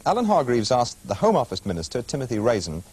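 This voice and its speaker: A man's voice: mid-range voice, wildly swooping pitch, quick pace, a little mellow, slightly rough. A man with a British accent presenting a regional news programme from a script, with the odd wry aside. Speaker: studio presenter.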